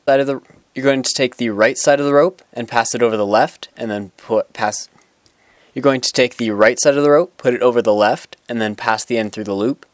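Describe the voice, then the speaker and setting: A man's voice: mid-range voice, a little fast, no echo. A young man giving a plain step-by-step knot-tying tutorial outdoors, talking in an instructional way and now and then restarting a sentence. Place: outdoors on grass.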